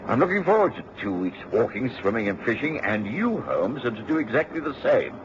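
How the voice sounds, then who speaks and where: An actor playing a hearty, romantic English doctor and narrator in a radio drama, with a British accent. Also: male voice, mid-range voice, big pitch swings, fairly quick, natural voice. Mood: hearty, insistent. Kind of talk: mid-century radio drama acting and narration. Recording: dull, noisy old radio recording.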